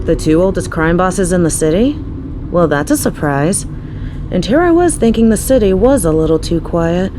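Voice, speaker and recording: Low-pitched, teasing crime boss woman acting. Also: animated, moderate pace, noisy background, natural voice. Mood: wry. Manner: teasing, playful, breathy asides. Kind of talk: audio roleplay acting with ASMR touches.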